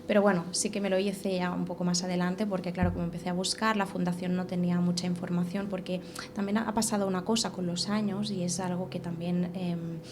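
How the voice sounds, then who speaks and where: A woman's voice: fairly low pitch, fast, few pauses. Woman telling her story, noisy. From Catalonia. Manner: animated, repeats and restarts phrases. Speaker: podcast guest. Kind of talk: casual conversational storytelling. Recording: steady background noise.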